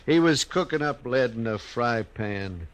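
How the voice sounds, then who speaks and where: A man's voice: mid-range voice, animated, unhurried, close to an everyday voice. A man acting a callous, evasive buffalo-hide outfit boss in a 1950s radio western, grudging and drawling.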